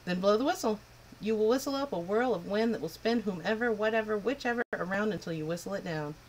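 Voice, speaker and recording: A woman reading a storybook aloud, voicing a proud, brusque old male wind spirit with a lowered voice. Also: quick pace, lightly acted, poor audio. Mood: gruff.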